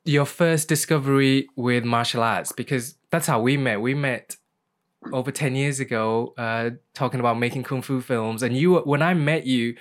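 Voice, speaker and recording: A man hosts a relaxed interview with enthusiasm. He agrees warmly and jumps in eagerly with questions. His voice sits at an ordinary middle pitch. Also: moderate pace. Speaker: interview host. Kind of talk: casual interview chat.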